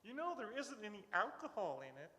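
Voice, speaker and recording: A woman's voice: medium pitch, very animated, fairly quick, pushed and bright. A woman playing a prim contest announcer in a live comic radio show. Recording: echoey hall with clear noise.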